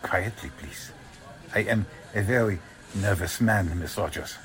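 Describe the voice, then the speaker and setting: Man acting a low-voiced, menacing German Nazi agent. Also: heavy background noise. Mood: quiet menace. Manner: quietly threatening, formal, deliberate phrasing. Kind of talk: 1940s radio drama acting.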